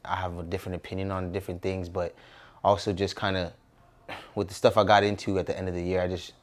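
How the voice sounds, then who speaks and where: A man in his twenties with an American accent, talking casually and reflectively as a guest in an interview. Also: low-pitched. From Southern California, United States. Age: 20s.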